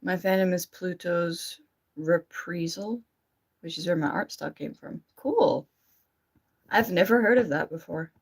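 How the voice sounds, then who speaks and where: A woman's voice: fairly low pitch, unhurried, creaky, husky. A woman with an Australian accent tinged with American, chatting informally and self-deprecatingly on a livestream as she answers comments. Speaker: streamer and amateur voice actor. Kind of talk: casual livestream chat, reading and answering comments.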